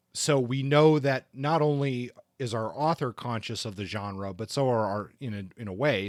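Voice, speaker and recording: A middle-aged man co-hosting a book podcast, talking casually in a halting, hesitant way with measured judgments. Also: medium pitch, no room sound, clean studio sound.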